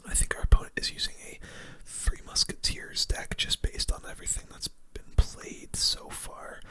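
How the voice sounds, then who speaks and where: A gaming host giving hushed, casual ASMR commentary in a whisper, using relaxed gamer slang.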